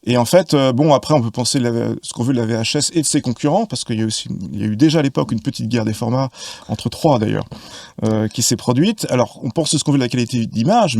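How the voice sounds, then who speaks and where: A man in his fifties from Paris with a gravelly edge to his voice, talking as a podcast guest. He lays out his thoughts in long, winding explanations full of hesitations and restarts. The sound is dry and close, with no room around it. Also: mid-range voice, darkish tone, dry recording. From Paris, France. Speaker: podcast guest, film expert. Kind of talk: casual conversation.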